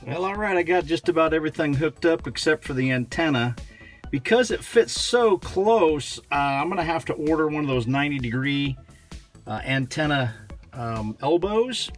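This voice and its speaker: A middle-aged American man narrating a hands-on vlog in a casual, unhurried way, thinking aloud as he goes. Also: fairly high pitch, animated, faint music behind. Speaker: vlogger showing a vehicle radio installation.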